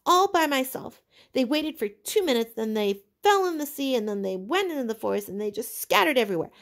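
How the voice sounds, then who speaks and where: An indignant, put-upon schoolboy played by a woman reading a storybook, pitched high and creaky. He protests plaintively in colloquial speech, frightened and desperate to be heard. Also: sing-song, a little fast. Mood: indignant, defensive.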